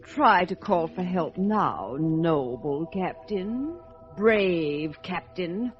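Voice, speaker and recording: An actress playing a mocking, imperious sorceress who gloats theatrically in an old-time radio drama. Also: female voice, lowish voice, expressive, drawn-out words, faint music behind. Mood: gloating, mocking. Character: sinister sorceress Lady Coralie, mocking and imperious. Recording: muffled old radio recording.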